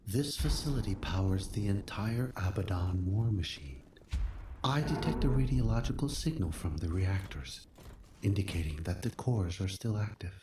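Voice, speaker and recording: An actor playing a calm, solemn machine guide giving formal, measured mission briefings in a game. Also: male voice, low voice. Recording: loud background noise and ambience.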